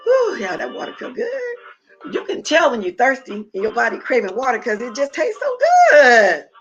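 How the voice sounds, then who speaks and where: A gravelly, raspy middle-aged woman chats to her livestream viewers in a blunt, opinionated way. Her voice has a lot of fry. The audio is dull and lo-fi. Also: on the high side, sing-song, moderate pace, soft background music. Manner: blunt, opinionated, talks straight to her chat. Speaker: livestream host. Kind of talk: casual livestream chat.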